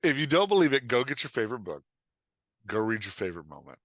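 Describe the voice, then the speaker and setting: A man's voice: mid-range voice, sing-song, fast, a bit bright, no echo, studio quality. A man chatting casually as a podcast guest and writing coach, emphatic, often repeating his phrases and acting out imagined remarks. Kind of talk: casual interview chat.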